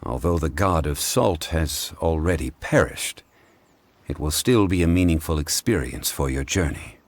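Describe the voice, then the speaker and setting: An actor playing a calm, formal ancient scholar-god who lectures in a measured way, in a game cutscene. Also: male voice, rumbling low, no echo. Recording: game audio with music.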